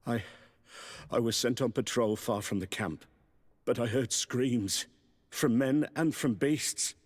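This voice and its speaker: An actor playing a shaken, deferential soldier giving a report in a video game. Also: male voice, medium pitch, takes it slowly. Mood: uneasy, hesitant. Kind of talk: video game cutscene acting. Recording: long reverberant hall echo.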